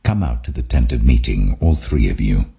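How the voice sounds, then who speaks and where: A man voicing a stern, majestic God in scripture narration, grave, commanding and deliberate. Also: extremely low pitch, lively intonation, gravelly, mellow, pitched below the performer's own voice, full character voice.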